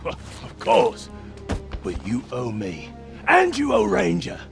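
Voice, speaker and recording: A little orc sidekick full of bluster and cheek in a game cinematic. The voice is raised and crackly, leaping around as it wheedles and protests. Clear music plays behind it. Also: male voice, sits high, takes it slowly, full character voice. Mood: wheedling, pushy. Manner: animated, wheedling, loudly indignant. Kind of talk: video game cinematic acting.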